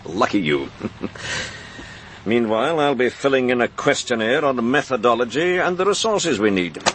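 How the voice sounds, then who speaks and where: Casting: man to play world-weary police boss for radio crime drama, sardonic, medium pitch. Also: big pitch swings, moderate pace, noisy background, close to an everyday voice. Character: harried senior police detective, weary and dryly sardonic. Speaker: senior police officer.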